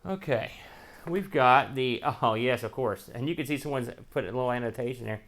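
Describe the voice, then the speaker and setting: A man's voice: mid-range voice, brisk, a bit bright. A man hosting an unboxing show, giving casual, off-the-cuff commentary in a folksy, colloquial way as he thinks aloud. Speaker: host of a retro computer unboxing show.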